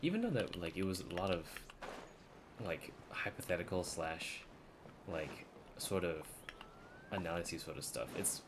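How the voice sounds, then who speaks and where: A man's voice: low voice, background noise. A man streaming a game with casual, informal commentary, thinking aloud in hesitant bits. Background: game music.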